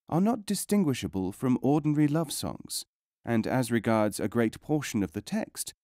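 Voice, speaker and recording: A man reading non-fiction as a scripted audiobook narration, in a measured, formal way with careful enunciation. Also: medium pitch, warm tone, dry recording, clean studio sound.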